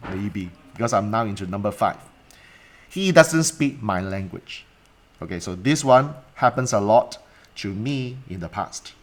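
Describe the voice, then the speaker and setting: A man, a coach talking alone to viewers in a livestream, asking rhetorical questions and repeating points. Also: on the low side. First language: Cantonese. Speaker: life coach. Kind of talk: solo livestream talk.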